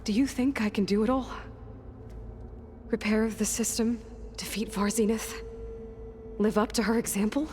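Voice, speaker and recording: A woman playing an earnest, burdened young hunter in a video game, with a low-key, determined delivery. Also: steady tone, normal speed, long pauses, a little mellow, slight background noise, lightly acted. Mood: burdened, unsure. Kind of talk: naturalistic video game cutscene acting.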